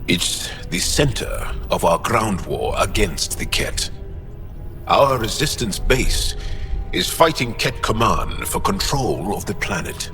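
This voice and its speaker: An actor playing a solemn, intense alien resistance fighter in a video game, speaking in a measured, deliberate, dramatic way. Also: male voice, lowish voice, very animated, pushed and bright, faint music behind, heavily acted. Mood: grave. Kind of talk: scripted video game acting.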